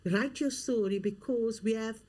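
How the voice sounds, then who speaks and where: Female guest, middle-aged, slightly high register, earnest and emphatic. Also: unhurried, darkish tone. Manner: earnest, emphatic, repeats key phrases. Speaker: guest, leadership coach and author. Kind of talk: interview conversation.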